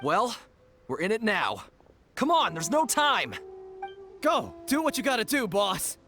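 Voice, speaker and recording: A scrappy, loyal young henchman from an anime game. His voice is very high and sharp, eager and urgent, over faint music. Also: very animated, unhurried, exaggerated voice.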